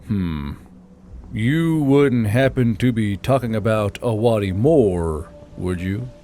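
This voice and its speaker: A man's voice: lowish voice, lively intonation, slow, warm tone, slight background noise. A man playing a wry, easygoing, teasing man in an audiobook drama. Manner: dry, teasing. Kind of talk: audiobook drama character acting.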